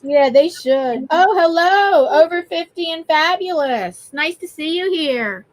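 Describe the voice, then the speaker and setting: Woman stream host, high-pitched and chatty, reads viewer comments aloud. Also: animated, measured pace, low-quality recording. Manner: chatty, greets viewers by name. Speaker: host of live art stream. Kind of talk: casual chat, reading out viewer comments.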